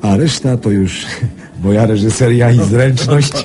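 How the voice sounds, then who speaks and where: An actor playing a sly, smug card-sharp recounting his scheme in a radio drama. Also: medium pitch, unhurried, close to an everyday voice. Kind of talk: radio drama acting, storytelling. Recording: music playing behind the voice.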